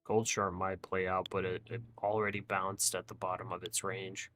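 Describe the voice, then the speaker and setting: A young man hosting a live trading stream, chatting casually with viewers in a monotone voice and calling them bro and dude. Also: deep voice, normal speed, soft background music. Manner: says his own voice is monotone. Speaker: livestream trading host.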